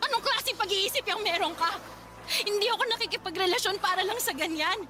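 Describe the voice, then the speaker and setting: A woman's voice: very high voice, fast. A woman acting a hurt, indignant, pregnant young lover in an emotional Tagalog radio drama. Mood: pleading, upset. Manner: emotional, pleading. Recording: clearly noisy background ambience.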